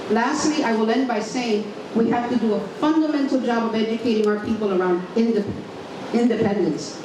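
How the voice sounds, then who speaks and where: A woman giving a forceful political speech at an outdoor forum, asking rhetorical questions. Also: fairly high pitch. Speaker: forum speaker. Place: outdoor community garden. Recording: echoey outdoor recording from far off, clear noise.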